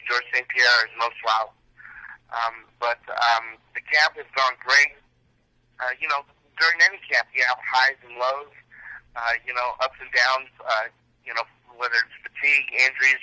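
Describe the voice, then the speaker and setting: A man giving a phone interview as a striking coach, speaking in a halting, matter-of-fact way. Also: mid-range voice, dry recording. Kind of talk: phone interview, recounting training. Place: phone call. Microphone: telephone. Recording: bright, dry telephone line.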